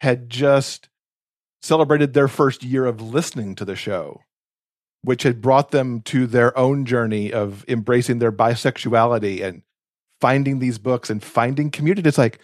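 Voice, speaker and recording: Male podcast co-host, medium pitch, earnest and reflective, conversational, very dry recording. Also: moderate pace, dry recording.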